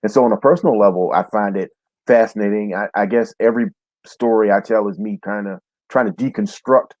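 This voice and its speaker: A man's voice: on the low side, fairly quick, darkish tone, dry recording, poor audio. A man speaking as a guest author on a panel, candid and reflective. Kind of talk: panel discussion.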